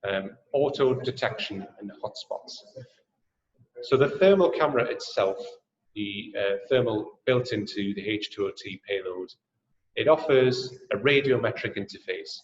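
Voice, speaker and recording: A man from Newcastle with a Geordie accent, hosting a webinar and making a friendly sales pitch full of ums and uhs. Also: measured pace. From Newcastle, England. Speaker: webinar host and drone sales trainer. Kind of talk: presenting a webinar and answering questions. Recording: echoey, dull webinar audio.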